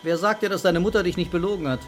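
An actor playing a middle-aged police commissioner in a radio crime drama, speaking in a dry, sarcastic, unflustered way. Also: male voice, sits high, racing, faint music behind, close to an everyday voice. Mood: sardonic, dismissive. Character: male police commissioner, unafraid, sardonic, stubbornly denying fatherhood.

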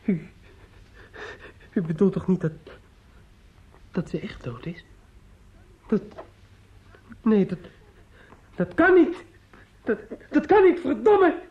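An actor in a Dutch radio play playing a shocked, brooding young lover, halting and repeating himself in disbelief. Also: male voice, high voice, huge pitch swings, breathy edge, exaggerated voice. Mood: stunned disbelief. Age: young adult. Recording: muffled, noisy old radio play recording.

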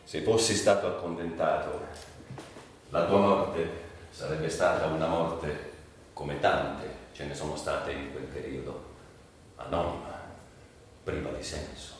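A man's voice: rumbling low, steady tone, unhurried, long pauses. Casting: bitter, haunted man, cynical and volatile, theatrical radio monologue; distant, very echoey sound. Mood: grave, accusing. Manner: brooding, volatile, swinging between outbursts and murmurs. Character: troubled, bitter man recalling drug years, cynical and haunted. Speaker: stage actor interpreting a monologue. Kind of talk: dramatic literary monologue, theatrical radio acting. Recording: distant, very echoey, faint background noise.

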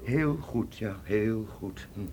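An actor in a radio drama playing a nervous, evasive antiquities dealer, ingratiating and pleading. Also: male voice, on the low side, expressive, slow, vocal fry, mellow, slight background noise, full character voice. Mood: anxious.

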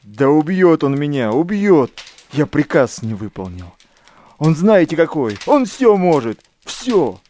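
A desperate, bewildered soldier of the war in an audio play. His voice is fairly high and very animated, plaintive and repetitive as he pleads. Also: unhurried, slight background noise. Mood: desperate pleading. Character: frantic, lost, pleading army lieutenant, wartime ghost. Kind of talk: audio drama acting.

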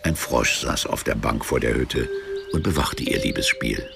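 An actor narrating a literary radio play in a measured, matter-of-fact, sombre way. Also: male voice, extremely low pitch, gravelly. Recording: music behind the voice. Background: music.

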